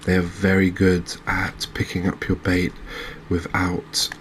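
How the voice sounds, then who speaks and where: A man giving soft-spoken, gentle, unhurried gameplay commentary in an ASMR style. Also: low-pitched, completely flat delivery, a little hiss or hum. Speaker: host of a video game channel.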